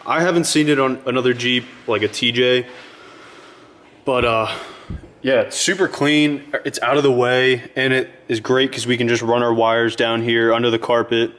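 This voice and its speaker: A man's voice: medium pitch, fairly even intonation, moderate pace, a bit bright. Easygoing man chatting through a car job. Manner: easygoing, chatty, plain-spoken explaining. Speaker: host of a car build channel. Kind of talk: casual how-to vlog. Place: garage, inside a vehicle.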